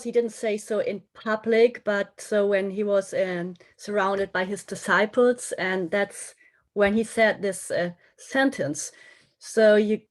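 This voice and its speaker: A woman guest on a podcast, talking reflectively and searching for her words as she goes. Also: medium pitch. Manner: thoughtful, searching for words, restarts phrases.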